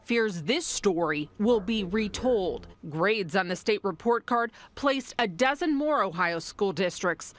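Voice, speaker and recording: A woman reporter reading a scripted news voice-over with a measured, even delivery. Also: mid-range voice.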